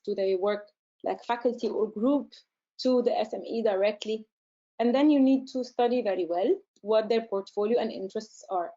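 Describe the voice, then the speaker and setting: A woman, a research centre director answering questions in a webinar, measured and explanatory, often pausing to find her words. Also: medium pitch, warm tone, dull audio. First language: Arabic. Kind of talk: webinar Q&A, advice to listeners.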